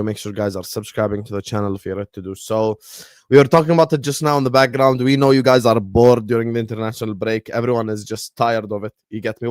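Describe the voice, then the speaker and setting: A man's voice: mid-range voice, dry recording. A man hosting a casual football chat show, chatty, often saying "you get me" and dropping in Arabic phrases. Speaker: podcast host.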